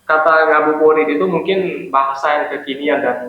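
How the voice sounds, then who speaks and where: Young man from Aceh, slightly high voice, tentative hedging delivery, dull echoey recording. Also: fairly even intonation, fairly quick. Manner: hedging, many "mungkin" and "nah". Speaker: guest. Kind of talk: casual chat. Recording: echoey room, dull sound.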